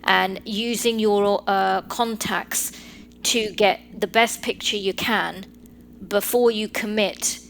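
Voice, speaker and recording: A woman in her forties with a London English accent speaks at a middle pitch, explaining things in a measured, advisory way with the odd filler. Her voice carries over a faint hiss of background noise. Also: fairly even intonation, vocal fry, a bit bright. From Britain. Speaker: guest lawyer. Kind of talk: podcast interview.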